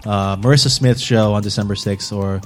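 A man's voice: lowish voice, fairly even intonation, fairly quick, soft background music. Teasing man hosting a podcast. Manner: teasing, moves the talk along. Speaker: podcast host. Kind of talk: casual podcast chat.

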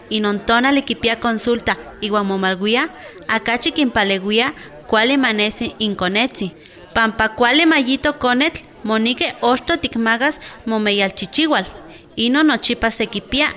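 A woman's voice: a little high, sharp tone. A woman playing a caring, instructive health adviser in a scripted radio drama.

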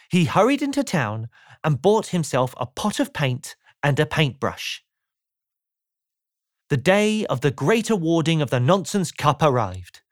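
Lively, unhurried man reading a children's story. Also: on the high side, dry recording, studio quality. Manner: playful, asks the listener rhetorical questions. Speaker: audiobook narrator. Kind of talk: scripted children's storybook narration.

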